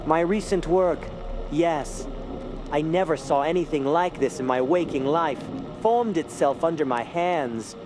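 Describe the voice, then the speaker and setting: An actor playing an eccentric, haughty sculptor haunted by dreams, in a theatrical, brooding radio drama style. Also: male voice, on the high side, measured pace, background noise, lightly acted. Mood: brooding, sardonic. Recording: loud music behind the voice. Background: music.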